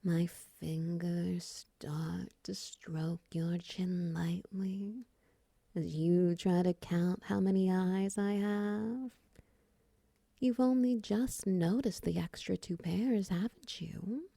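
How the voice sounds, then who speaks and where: A seductive, doting spider woman played by a female voice actor for a romance audio roleplay. Her voice is very dark and soft, lowish and breathy, slipping often toward a whisper. She coaxes slowly and teasingly, right up close on a dry mic. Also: steady tone, no echo, exaggerated voice. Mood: seductive, intimate. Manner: slow, coaxing, teasing endearments. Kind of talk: intimate ASMR-style romance audio roleplay.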